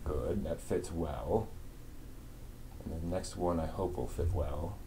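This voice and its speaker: A man's voice: deep voice, slow, background noise. A man hosting a casual live stream, rambling and often muttering to himself as he works.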